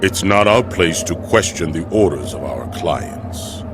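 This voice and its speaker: An actor playing a grave, dutiful mercenary in a fantasy game, terse and solemn. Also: male voice, deep voice, lively intonation, a little slow, music in the background. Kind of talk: English video game cutscene acting.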